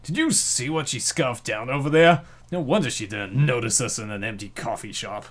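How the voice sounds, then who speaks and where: A man's voice: mid-range voice, normal speed, lightly acted. A man voicing a disapproving, incredulous young male coworker in an amateur comic dub.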